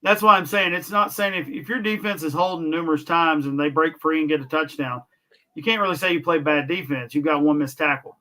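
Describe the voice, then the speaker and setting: Bright-voiced man co-hosting a sports podcast. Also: a little high, steady tone, brisk, low-quality recording. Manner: emphatic, hedges his predictions. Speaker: podcast co-host. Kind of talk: casual sports chat.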